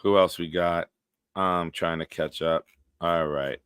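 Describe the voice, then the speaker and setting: A man in his forties hosting a live-stream show, reading viewer comments aloud and bantering bluntly with a smooth, deep voice. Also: steady tone, takes it slowly, a bit bright, no echo. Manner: banters with chat, blunt and profane.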